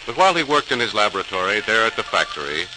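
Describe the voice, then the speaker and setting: A man's voice: fairly low pitch, very animated, fast talker. A man narrating a scripted story in a dramatic 1940s radio style. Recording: noisy crackling old radio recording.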